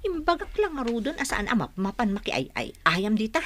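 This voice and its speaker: A woman's voice: on the high side, huge pitch swings, normal speed, slight background noise. A woman playing an anxious, scolding village mother and wife in an Ilocano radio drama.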